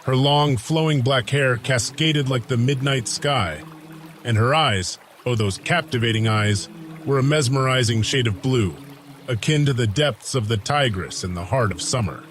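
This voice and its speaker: A man's voice: mid-range voice, moderate pace, fairly bright tone, slight background noise. A man narrating a scripted audio drama in the first person, with a grandiose, florid storytelling manner. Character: ancient soldier turned paladin, lovestruck, reminiscing.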